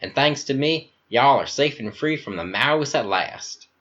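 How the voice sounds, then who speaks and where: Man acting flamboyant Southern governor, middle-aged villain, florid and gushing, raised pitch, slightly muffled recording. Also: expressive, measured pace, a bit bright. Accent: American Southern. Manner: effusive, florid, grandiose.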